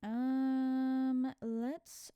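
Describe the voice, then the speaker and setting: A woman's voice: high-pitched, little pitch movement, very slow, warm tone, clear, resonant tone, dry recording. A woman playing a story game, reading the narration aloud and adding casual, giggly asides. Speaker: video game streamer.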